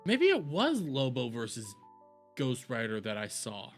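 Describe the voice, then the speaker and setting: A man's voice: medium pitch, wildly swooping pitch, measured pace, faint music behind. A man doing casual live-stream commentary, rambling, repeating himself and swearing freely. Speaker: streamer reacting to videos.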